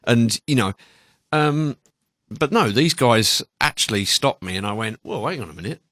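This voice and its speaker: A man's voice: mid-range voice, animated, moderate pace, a bit bright, no echo. A man hosting a radio show, chatting casually and in a rambling, conversational way as he introduces new music, close on a studio microphone.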